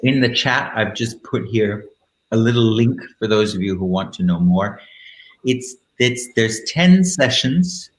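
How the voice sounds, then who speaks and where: A man hosting a live online show in a camp, theatrical manner, moving between casual chat and dramatic reading with deliberate phrasing. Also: medium pitch. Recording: roomy, echoing live-stream sound.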